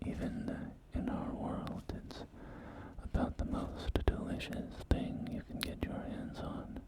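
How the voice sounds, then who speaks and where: A man's voice: slow pace, close to an everyday voice. A man whispering in an ASMR roleplay as a courteous, enthusiastic post-apocalyptic real estate agent, with genteel, hesitant sales patter. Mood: soothing, admiring. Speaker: roleplay performer. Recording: music behind close whispering. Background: background music.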